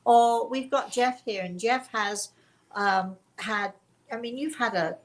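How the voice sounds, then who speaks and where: A woman's voice: on the high side, takes it slowly. Informal, emphatic woman host. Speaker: host of a spiritual story and question show. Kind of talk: casual chat with guest and viewers.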